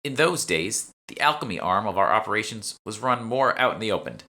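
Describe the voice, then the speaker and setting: A teenage Boston shopgirl played by a man in an audiobook, guarded but knowing. The voice is lifted and bright, with a casual way of explaining things. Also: fairly low pitch, lively intonation, brisk, creaky, pitched above the performer's own voice, exaggerated voice. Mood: chatty, informative. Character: teenage salesgirl, evasive then chatty, knowing alchemy shopkeeper. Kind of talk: audiobook character dialogue.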